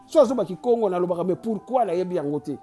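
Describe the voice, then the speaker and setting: Congolese-accented channel host, earnest sermon-like talk, warm dark timbre, soft music bed. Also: medium pitch, expressive, fast. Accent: Congolese French. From Kinshasa, Congo. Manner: preachy and urging, with frequent restarts and stammers. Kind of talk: impassioned address mixing Lingala and French.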